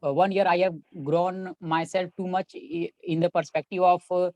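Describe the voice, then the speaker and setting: A young man with an Indian English accent, introducing himself earnestly over a video call and pausing often with fillers. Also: sits high, flat delivery, darkish tone, dry recording. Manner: earnest, hesitant with many fillers. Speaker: participant, cricketer.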